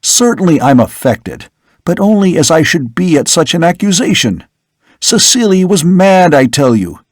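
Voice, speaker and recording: A man's voice: on the high side, lively intonation, normal speed, a little mellow, no room sound, studio quality, pitched above the performer's own voice. A man reading an earnest young son in an audiobook, who pleads insistently and with passion. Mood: impassioned.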